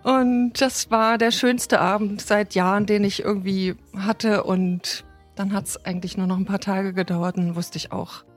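A woman in her mid-fifties from Thuringia in Germany, thoughtfully recounting her personal story, with soft music underneath. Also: mid-range voice, steady tone, a little slow. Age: 50s. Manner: reflective and thoughtful. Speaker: interviewee.

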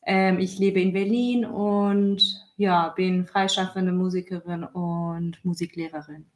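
A woman's voice: lowish voice, steady tone, slow, darkish tone. Iranian-born woman musician, thoughtful. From Iran. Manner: thoughtful, fillers, varying pace. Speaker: guest musician. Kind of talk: casual interview talk.

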